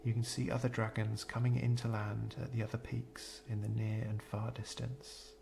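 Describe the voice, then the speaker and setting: Male meditation narrator, gravelly creak in the voice, soothing and unhurried scripted read with pauses, some background noise. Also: lowish voice, little pitch movement. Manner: measured, soothing, gentle delivery with pauses.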